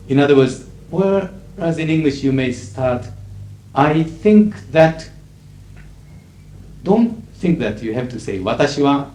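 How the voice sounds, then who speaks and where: A middle-aged man with a Japanese accent, a native Japanese speaker, lecturing as an interpreter in a measured, emphatic way with frequent fillers. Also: mid-range voice, warm tone. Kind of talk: lecture to an audience. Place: lecture hall. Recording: echoey 1980s hall recording with faint hiss.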